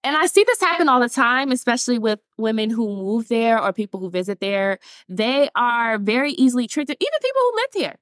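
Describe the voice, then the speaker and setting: Chatty, animated young woman. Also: fairly high pitch, fairly quick, few pauses, no echo, studio quality. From Atlanta, Georgia. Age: 20s. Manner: chatty, animated, laughs often, addresses listeners as y'all. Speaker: TikTok creator and podcast host. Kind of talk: casual talk to camera.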